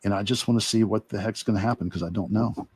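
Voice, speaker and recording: Male illustrator-instructor, lowish pitch, reflective and halting, casual demo delivery, very dry recording. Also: husky, dry recording. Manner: reflective, halting, long rambling answers. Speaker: illustrator and course instructor demonstrating. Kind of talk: casual demo talk while drawing.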